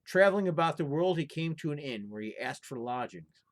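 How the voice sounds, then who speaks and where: A man's voice: a little high, animated, a little fast. A man telling folktales as an informal storyteller, moving between casual chat and narration.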